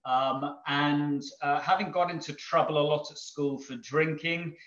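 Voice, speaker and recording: A man's voice: fairly high pitch, steady tone, a little slow, fairly bright tone. A middle-aged man, a brewery owner giving a casual, rambling webinar talk from home over a video call. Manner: rambling, chuckling, many ums and restarts. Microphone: video call microphone. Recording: echoey room, dull video call audio.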